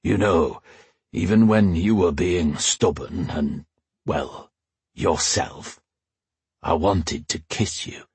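Man doing a gruff, low, husky knight. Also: unhurried, dry recording, full character voice. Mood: tender, serious. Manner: deadpan, dry, honourable. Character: gruff armoured male knight, loyal, dry-witted lover.

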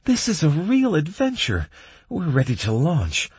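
A man voicing an eager, excitable young adventurer with a squeaky voice in a fantasy audiobook. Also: a little slow, breathy edge, dry recording, exaggerated voice. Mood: excited.

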